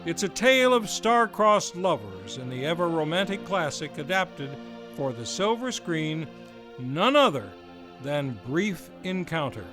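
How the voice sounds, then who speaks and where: A man's voice: a little high, very animated, measured pace, a bit bright, soft background music. A man hosting an old-time radio show, reading a scripted introduction in a grandiose, theatrical showman's manner. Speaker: radio show announcer and host.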